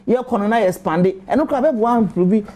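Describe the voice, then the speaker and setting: A man's voice: high voice, brisk, dark tone. A Ghanaian man in his forties, a football club spokesman, explaining earnestly as a guest in a TV studio interview.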